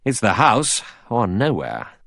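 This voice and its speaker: A man plays an adventurer in an audiobook, lifted a little above his own pitch. He is cool and self-assured, with a light, wry delivery. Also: wildly swooping pitch, takes it slowly, gravelly, fairly bright tone, dry recording, clean studio sound, heavily acted. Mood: brisk.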